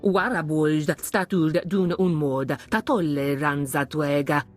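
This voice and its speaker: A man's voice: a little high, a little slow, soft background music, close to an everyday voice. Alien jabbering in an invented tongue, man acting. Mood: agitated. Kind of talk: video game creature dialogue.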